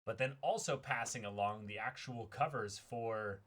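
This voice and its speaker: A man's voice: mid-range voice, normal speed, fairly bright tone. A man co-hosting a stream in casual chat, speaking agreeably and often repeating short affirmations.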